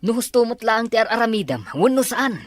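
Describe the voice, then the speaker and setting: A woman playing a cautious, aging henchman in an Ilocano Filipino radio drama, with a husky voice. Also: on the high side, expressive, a little fast, no echo. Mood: warning, insistent. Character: cautious, aging henchman urging a change of ways. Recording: close, dry, with music underneath.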